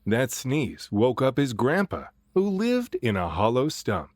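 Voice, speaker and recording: A man reading a children's picture book aloud in an expressive way, drawing out phrases for dramatic emphasis. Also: mid-range voice, measured pace, no echo. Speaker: storybook reader and voice actor.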